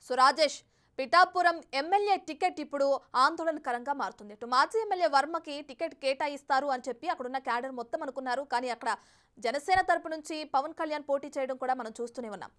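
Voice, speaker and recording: A woman's voice: sits high, fairly bright tone. A woman news anchor presenting live television news and putting questions to a field reporter.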